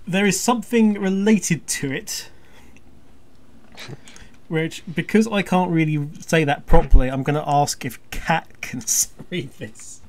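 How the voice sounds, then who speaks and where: A podcast host chatting casually in a halting, rambling way, often restarting sentences, with dry, jokey humour. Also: mid-range voice, darkish tone. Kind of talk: casual chat.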